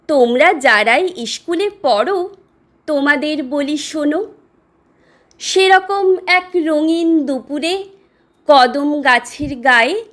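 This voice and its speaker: A woman recites a poem expressively, in measured phrases with dramatic pauses. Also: sits high, a bit bright. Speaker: poetry reciter.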